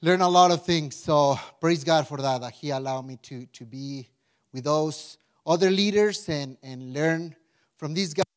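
A man in his forties from Mexico with a Mexican Spanish accent, preaching a casual sermon with a prayer, chatty and animated, full of restarts and "right?" asides. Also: a little high, measured pace. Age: 40s. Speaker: pastor.

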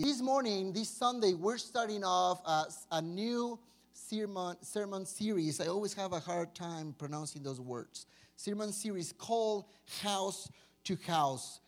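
A Mexican-accented man whose first language is Spanish gives a relaxed, joking sermon. His voice sits fairly high for a man, and he keeps the tone loose and conversational. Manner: joking, chatty, informal. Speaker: pastor. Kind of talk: informal sermon.

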